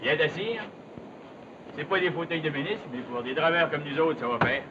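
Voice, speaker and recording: A man's voice: fairly high pitch, normal speed, natural voice. A male actor playing a brawny, bold, sociable backwoods log driver in a 1950s television drama, with a Quebec French accent and colloquial, challenging rural speech. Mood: hearty, teasing. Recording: muffled, noisy old television soundtrack.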